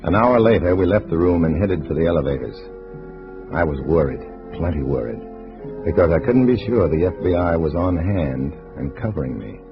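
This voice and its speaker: Hard-boiled American male spy, very low register, clipped storytelling, dull vintage radio sound. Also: expressive, moderate pace. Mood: tense narration. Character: tense undercover agent posing as a communist comrade. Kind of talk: 1950s radio drama acting and first-person narration. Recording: dull old radio recording with music.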